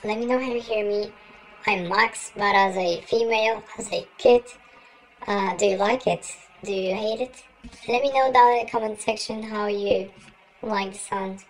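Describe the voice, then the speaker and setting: A man's voice: fairly even intonation, moderate pace, poor audio. A young man voicing a little girl through a real-time voice changer, giving casual game commentary. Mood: chirpy, curious. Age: young adult. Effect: real-time pitch-raising voice changer.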